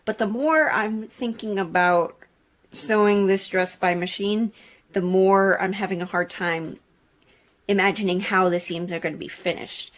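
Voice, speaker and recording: A woman vlogger talks through a sewing project in a casual, candid way. She thinks aloud and rambles from one point to the next. Her voice sits at a medium pitch for a woman. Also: fairly even intonation, measured pace. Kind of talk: casual sewing vlog chat.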